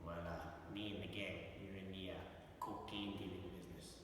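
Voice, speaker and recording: A man's voice: low-pitched, flat delivery, fairly quick, background noise, lightly acted. A young man in a student video playing a streetwise ex-con drug dealer who swaggers and swears casually. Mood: gruff. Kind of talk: student amateur video acting. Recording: echoey room, far from the mic, with music.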